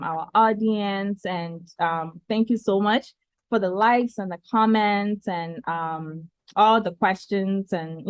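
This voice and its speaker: A woman hosting a casual live online talk show, unhurried and filling pauses with ums. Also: medium pitch, no echo.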